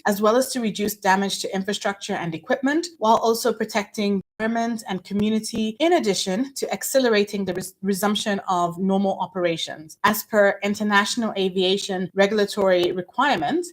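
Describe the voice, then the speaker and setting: A woman spokesperson gives a prepared statement in a formal, official manner. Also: medium pitch.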